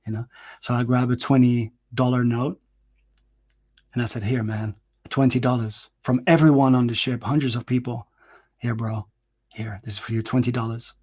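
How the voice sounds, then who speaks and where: A man's voice: medium pitch, slightly rough. A man in his forties telling a personal story in a casual, rambling monologue, swearing, restarting his sentences and acting out what people said. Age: 40s. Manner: rambling, profane, animated, with frequent restarts and fillers.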